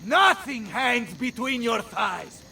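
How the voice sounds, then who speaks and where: Hot-blooded Italian captain, wildly high-pitched. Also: male voice, big pitch swings, slow pace, vocal fry, bright, forceful tone, recorded from a distance, a little hiss or hum, heavily acted. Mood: furious. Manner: bombastic, swearing, emotionally volatile. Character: hot-blooded, theatrical Italian mercenary captain, devoted husband. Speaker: video game voice actor. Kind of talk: dramatic game cutscene acting.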